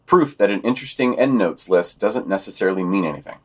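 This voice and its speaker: A man with a slightly low-pitched voice co-hosts a podcast news digest. He reads prepared summaries and quotations, adding commentary in a wry, sardonic manner. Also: lively intonation, fast talker.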